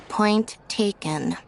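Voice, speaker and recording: An actress playing an unbothered, deadpan young woman with clipped phrasing in a video game. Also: a little high, slight background noise, heavily acted. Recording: music behind the voice.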